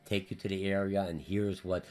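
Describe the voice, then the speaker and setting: Low-voiced male business teacher with a Polish accent, rushing through a recorded course update. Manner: hurried, energetic, repetitive with many fillers. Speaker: college business instructor.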